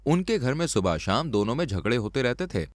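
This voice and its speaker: A male storyteller narrates a scripted cartoon tale. His medium-pitched voice moves with very lively intonation, and the recording is dry and close. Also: brisk, no echo.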